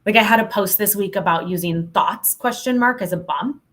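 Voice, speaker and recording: A woman's voice: medium pitch, normal speed, fairly bright tone. A woman talking candidly and emphatically as a podcast guest, giving informal expert advice in a casual conversation.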